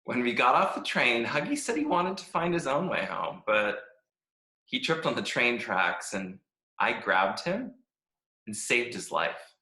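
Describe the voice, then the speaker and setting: Man playing Stick, an excitable, bright-voiced cheerful twig. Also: mid-range voice, measured pace. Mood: proud, upbeat. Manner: gushing, excitable, naive. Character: Stick, a cheerful, oblivious, adventure-loving talking stick. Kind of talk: animated children's storybook read-aloud. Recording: echoey room.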